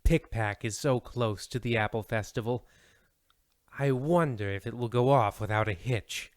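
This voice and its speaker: A man's voice: mid-range voice, normal speed, dry recording, clean studio sound, close to an everyday voice. A man playing a melodramatic radio serial host, narrating pompously in a mock vintage mystery style. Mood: portentous.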